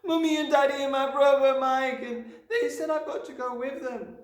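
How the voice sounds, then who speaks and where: A man's voice: very high voice, steady tone, normal speed, voice pushed up. A man voicing a small, passionate schoolgirl from a storybook, exclaiming and pleading urgently. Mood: urgent, upset. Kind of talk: storybook character voice. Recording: roomy, distant microphone.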